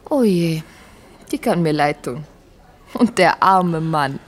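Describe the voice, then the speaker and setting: A woman's voice: low-pitched, lively intonation, slow pace, full character voice. A woman acting in a German radio drama as a sardonic, jealous doctor and wife who needles with sarcasm. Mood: catty, mocking.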